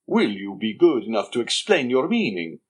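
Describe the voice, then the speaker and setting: A man voicing an aging schemer who poses as a dignified father, reading in a measured, stately way. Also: medium pitch, very animated, breathy edge. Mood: guarded. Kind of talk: audiobook character reading.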